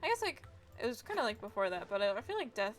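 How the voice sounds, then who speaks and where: A woman streaming a video game, her voice somewhat high. She comments casually as she plays, in a hesitant, rambling way. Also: big pitch swings, quick pace, soft background music. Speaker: game livestreamer.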